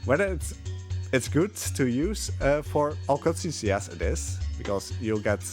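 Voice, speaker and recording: A man's voice: medium pitch, big pitch swings, unhurried, darkish tone. A man giving casual live speedrun commentary, hesitant and rambling as he plays. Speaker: speedrunner commentating on own run. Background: game music.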